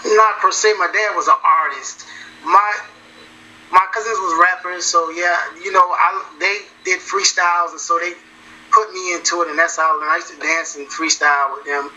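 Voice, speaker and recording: Bright-voiced man, relaxed and repetitive. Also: high voice, slight background noise, poor audio. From South Carolina. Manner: relaxed, repeats himself, drawn-out phrasing. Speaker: musician guest. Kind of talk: casual interview answers.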